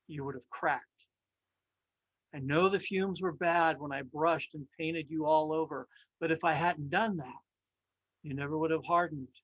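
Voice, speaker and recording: A patient master potter from a storybook, voiced by a woman, speaks slowly and quietly. He is gentle but firm, with a measured, reassuring delivery. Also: sits low, no echo, low-quality recording.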